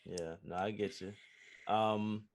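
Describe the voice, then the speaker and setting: A man's voice: fairly low pitch, fairly even intonation, slow pace. A man co-hosting a casual movie discussion, speaking briefly and agreeably. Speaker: podcast co-host.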